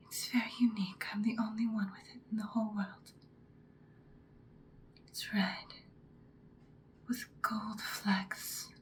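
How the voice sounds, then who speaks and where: A woman voicing an imperious, seductive ancient vampire in a breathy, dramatic whispered ASMR roleplay. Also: mid-range voice, a little hiss or hum, natural voice. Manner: slow, deliberate, dramatic. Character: ancient female vampire, seductive, imperious, menacing yet protective.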